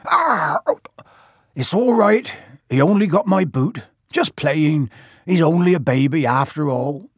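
Guy doing a big gruff softie gamekeeper giant from a storybook, all weepy and doting, dry close sound. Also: a little high, expressive, a little slow, dry recording, voice pushed up. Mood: tearful, doting. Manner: tearful, doting. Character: gruff, sentimental giant gamekeeper doting on his baby dragon.

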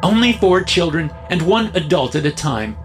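A breezy, chilling female hostage-taker in an audiobook, voiced by a male narrator. The voice is high and lilting, falsely sweet and glib, giving orders with cool command over music. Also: animated, moderate pace, close to an everyday voice. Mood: brisk command. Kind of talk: audiobook character acting.

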